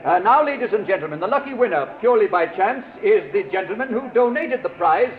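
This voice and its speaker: An actor playing a flustered, formally polite auctioneer in a 1940s radio drama, hesitant and apologetic. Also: male voice, high voice, animated, fairly quick. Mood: announcing. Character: flustered, polite auctioneer announcing a raffle winner. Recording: tinny old radio, crowd murmur behind.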